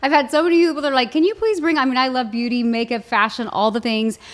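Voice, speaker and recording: A woman with a fairly high voice hosts a casual interview. She speaks effusively, in the loose conversational style of a podcast chat. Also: fast talker. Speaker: podcast host. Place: her own home.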